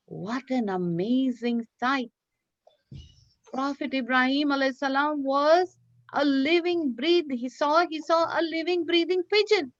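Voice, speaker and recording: Casting: female children's teacher, fairly high voice, animated read-aloud with questions and repetition, dull online-class sound. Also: slow, no echo. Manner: animated, explanatory, repeats phrases and questions listeners.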